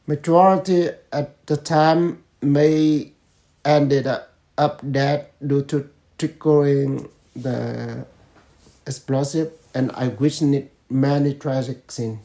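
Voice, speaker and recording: Father in his fifties; slow, mellow, measured delivery. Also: a little high, fairly even intonation. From Vietnam. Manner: slow, measured answers with pauses and fillers. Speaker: interviewee, father. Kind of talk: family interview.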